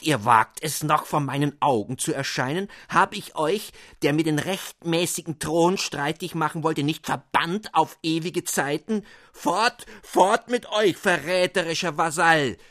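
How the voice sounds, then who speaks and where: A man's voice: fairly high pitch, measured pace, a bit bright, voice pushed up. A man voicing a tiny, wrathful carrot king who commands and scolds with fury. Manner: furious, commanding.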